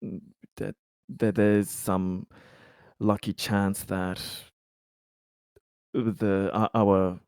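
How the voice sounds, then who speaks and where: Man playing a weary, halting, slow-spoken colony officer. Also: on the low side, level tone, darkish tone, clear, resonant tone, no echo. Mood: grave, grieving. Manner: halting, grave, earnest, sighing. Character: weary, haunted male colony officer consoling a colleague. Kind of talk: improvised tabletop roleplay dialogue.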